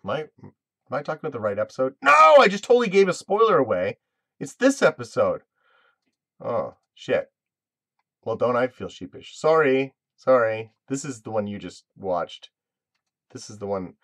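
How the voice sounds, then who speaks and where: A man's voice: medium pitch, very animated, normal speed, fairly bright tone, no room sound, studio quality. A man in his thirties hosting a casual live Q&A about his web series, rambling and restarting his sentences with lots of fillers. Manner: rambling, self-interrupting, full of fillers. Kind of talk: casual live-stream chat.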